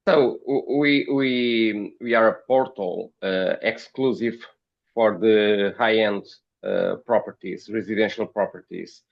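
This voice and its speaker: A man with a Portuguese accent speaks slowly in a gravelly voice, hesitating and repeating words as he answers as an interview guest. The recording is dull and lo-fi. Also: fairly low pitch. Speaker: podcast guest, company founder.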